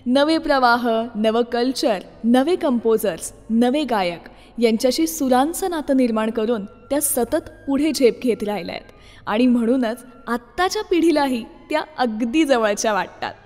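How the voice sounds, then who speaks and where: A woman's voice: on the high side, expressive, moderate pace. A woman presenting a scripted radio show as its host. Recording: long reverb, music behind. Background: soft music.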